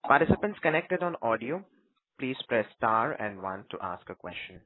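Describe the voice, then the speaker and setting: A man's voice: medium pitch, sing-song, moderate pace. A man working as a conference operator, reading scripted announcements in a formal, procedural way. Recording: narrow tinny telephone line.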